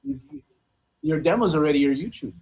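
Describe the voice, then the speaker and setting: A man speaking casually as a music interview guest, in halting, repetitive phrases with many filler words. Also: a little high, big pitch swings, darkish tone, rough recording. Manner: halting, repetitive phrasing with frequent "you know". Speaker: guest hip hop artist.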